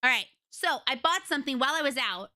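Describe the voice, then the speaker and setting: High bright raspy-voiced young woman streamer. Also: lively intonation, quick pace, dry recording. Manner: chatty, rambling, retells conversations. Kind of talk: casual chat to stream viewers.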